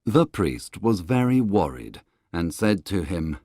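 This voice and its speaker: Low-voiced man narrating a storybook, unhurried. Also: big pitch swings, darkish tone, dry recording, studio quality.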